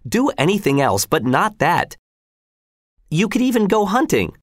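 Nagging worried mother voiced by a man, higher voice, lively pitch swings. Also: unhurried, dry recording, studio quality, full character voice. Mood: anxious. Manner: nagging, fretful, insistent. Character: scolding worried wife and mother. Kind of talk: audiobook character reading.

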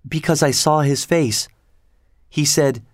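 A man's voice: fairly high pitch, slow, dry recording, clean studio sound, voice pushed up. A man voicing a frightened, earnest young woman opera singer in a dramatic storybook reading. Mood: regretful.

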